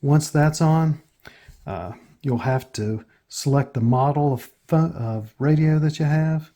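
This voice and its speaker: An American man hosting a casual step-by-step tutorial in a plain, matter-of-fact way with hesitant pauses. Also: fairly high pitch, mellow. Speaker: tutorial host.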